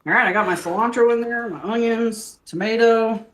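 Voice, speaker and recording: A woman's voice: on the high side, fairly quick, reverberant. An older woman, chatting earnestly while she cooks at home and drifting into preaching. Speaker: host. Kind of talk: casual chat while cooking. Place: home kitchen.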